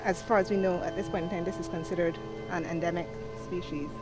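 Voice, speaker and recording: A woman's voice: on the low side, steady tone, quick pace, music in the background. A woman university researcher explaining her work in a casual, interview manner.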